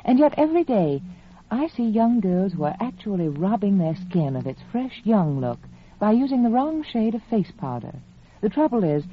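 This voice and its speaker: A woman reading a scripted 1940s radio cosmetics advertisement in a confiding, persuasive, emphatic manner. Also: medium pitch. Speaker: cosmetics spokeswoman. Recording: muffled, faintly noisy vintage radio recording.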